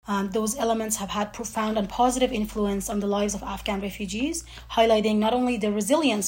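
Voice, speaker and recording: A woman's voice: mid-range voice, darkish tone, rough recording. A woman hosting an interview, introducing guests and asking questions in polished, formal phrasing. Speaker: interview host. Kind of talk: semi-scripted interview hosting.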